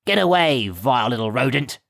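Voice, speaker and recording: A man's voice: medium pitch, very animated, measured pace, sharp tone, no room sound, clean studio sound, pitched above the performer's own voice. A man voicing a small, spiteful manservant who sneers and snarls. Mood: sneering. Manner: sneering, rude.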